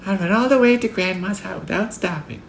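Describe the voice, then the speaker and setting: A man's voice: sits high, expressive, a little fast, creaky, roomy sound, slight background noise, voice pushed up. A man voicing a sweet, naive little girl in a storybook, exclaiming and drawing out words. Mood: cheerful, eager.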